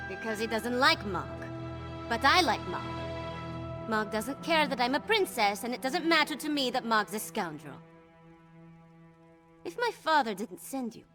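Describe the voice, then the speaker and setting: A woman playing a rebellious young princess in a video game, haughty, brash and flippant. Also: a little high. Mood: indignant. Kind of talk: video game character dialogue.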